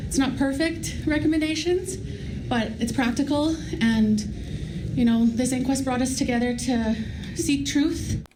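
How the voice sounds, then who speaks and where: A woman's voice: high-pitched, unhurried. A woman speaking spontaneously in a news clip, earnest and searching for her words. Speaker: interviewee. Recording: noisy field recording.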